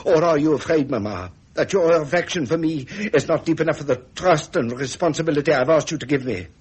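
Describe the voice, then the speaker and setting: An actor playing a frustrated royal heir and aggrieved son, with an upper-class English accent, in vintage British radio drama. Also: male voice, a little high, brisk, slightly rough. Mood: pleading, hurt.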